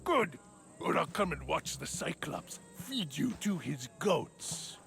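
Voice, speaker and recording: An actor playing a sneering, threatening debt-collecting thug in a video game. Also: male voice, on the high side, sing-song, slow, creaky, fairly bright tone, faint music behind, exaggerated voice. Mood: sneering menace. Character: sneering male thug collecting debts for a warlord. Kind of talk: dramatic video game villain acting.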